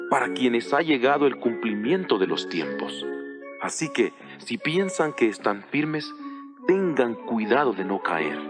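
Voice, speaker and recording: A man playing the Apostle Paul as an earnest, solemn teacher exhorting his congregation in a dramatized audio Bible, with music behind him. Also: mid-range voice. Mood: solemn warning. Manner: solemn, exhortative, deliberate phrasing.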